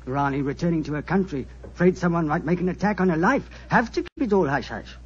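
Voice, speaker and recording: An actor playing a talkative, gossipy ship's doctor in a 1940s radio drama on a dull old recording. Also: male voice, a little high, expressive, quick pace, slightly rough. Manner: chatty, gossipy, chuckling.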